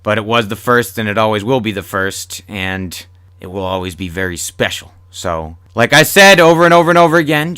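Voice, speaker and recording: A man's voice: mid-range voice, bright, forceful tone, smooth, no room sound, studio quality. A man hosting a horror podcast, chatting casually to listeners in a rambling, repetitive, sweary way. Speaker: horror podcast host and writer. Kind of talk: casual solo podcast chat.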